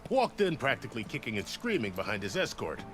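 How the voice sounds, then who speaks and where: An actor playing a genial, wry second-in-command army officer in a video game, courteous and gently teasing. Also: male voice, medium pitch, wildly swooping pitch, fast talker. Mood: wry, explanatory. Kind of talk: video game cutscene acting. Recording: music behind the voice.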